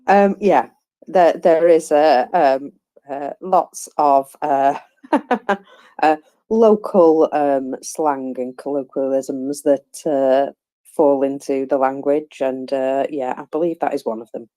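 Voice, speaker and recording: A woman's voice: a bass voice, slow, mellow. A woman chatting casually on a live audio space, hesitant with many fillers and a dry wit. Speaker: panelist.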